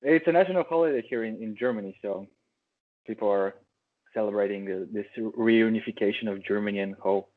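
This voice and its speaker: A man's voice: fairly low pitch, fairly quick. Bulgarian man on a tinny call line. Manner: easygoing, a few stumbles and restarts. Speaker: podcast guest. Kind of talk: casual remote interview chat. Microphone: video call. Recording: tinny phone-like call audio.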